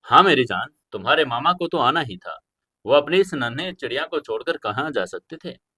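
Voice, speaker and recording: A man's voice: mid-range voice, lively intonation, normal speed, sharp tone, husky. A man voicing a protective young woman, stern yet loving and emotional, in an audio story. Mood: affectionate.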